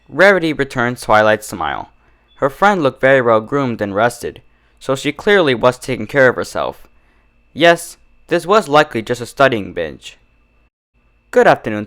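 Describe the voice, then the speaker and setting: A man's voice: medium pitch, fairly bright tone. A man reading a story aloud as scripted, dramatic narration. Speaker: fan fiction narrator.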